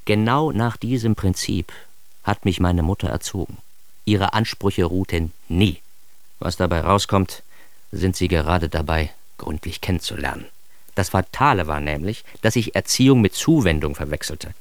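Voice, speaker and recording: A man's voice: sits low, normal speed, no room sound. A man playing a neurotic, self-mocking narrator of his own childhood in a radio drama, in standard German with a wry, theatrical manner.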